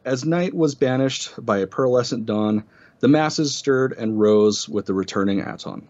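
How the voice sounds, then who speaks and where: A man with a medium-pitched voice reads descriptive prose aloud, occasionally tripping on a phrase. The recording is a little muffled and lacks high end. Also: a little mellow. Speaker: co-host reading an essay aloud. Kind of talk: literary essay reading with casual asides. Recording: slightly dull, band-limited audio.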